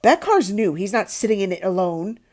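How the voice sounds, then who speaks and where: Blustering uncle played by a woman, middle-aged, brusque, mellow tone, storybook character. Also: medium pitch, big pitch swings, normal speed, lightly acted. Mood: barking.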